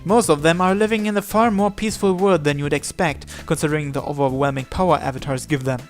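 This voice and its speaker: A man with a fairly high voice reads story text from a visual novel aloud. He narrates line by line and sometimes trips on a word and repeats it. Music plays behind him. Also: fast talker. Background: background music.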